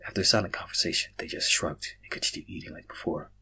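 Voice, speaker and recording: A man's voice: fast talker, sharp tone. A man reading a fan fiction story aloud in an amateur way, sometimes stumbling over words and correcting himself.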